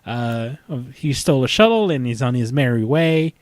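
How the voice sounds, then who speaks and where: A man in his thirties hosting a casual fan discussion, talking in a halting, rambling way as he recaps. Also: medium pitch, expressive, no room sound. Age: 30s. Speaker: podcast host.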